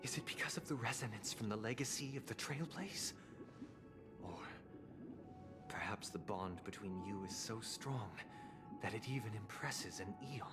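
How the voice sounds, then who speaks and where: Composed, formal gentleman for a video game acted by a man, ceremonious, fairly low, with clear backing music. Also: expressive, moderate pace, natural voice. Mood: intrigued. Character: formal, courtly, composed male host issuing challenge. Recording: background music under voice.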